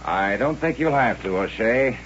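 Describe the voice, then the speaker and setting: An actor playing a dry, protective frontier doctor in an old-time western radio drama. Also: male voice, mid-range voice, expressive, normal speed, a bit bright, natural voice. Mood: dry, wry. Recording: dull, faintly noisy old radio recording.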